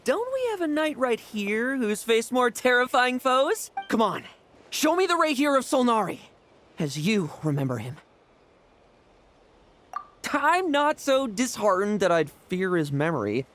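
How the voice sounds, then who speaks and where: A man's voice: extremely high pitch, big pitch swings, a little slow, slight background noise, heavily acted. An actor playing a cocky, battle-hungry young male knight in a video game, taunting and self-assured. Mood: cool, challenging. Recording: loud music over the voice.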